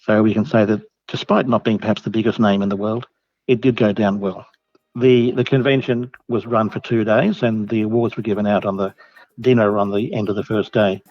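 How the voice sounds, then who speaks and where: A man giving a measured, slightly hesitant report phoned in to a podcast. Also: on the low side, fairly even intonation. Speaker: convention reporter. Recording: dull phone-in audio, echoey, faint music.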